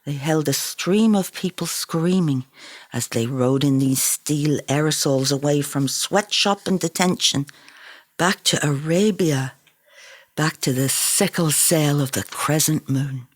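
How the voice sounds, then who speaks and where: A woman author reading her own novel aloud in an expressive, dramatic, incantatory style. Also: low voice, unhurried. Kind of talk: expressive literary narration.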